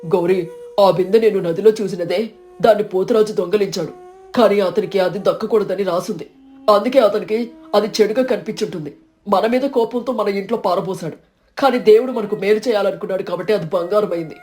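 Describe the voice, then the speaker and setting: A woman voicing a kind, innocent village man who calmly explains what happened. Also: medium pitch, brisk, lightly acted. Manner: calm, wise, explaining.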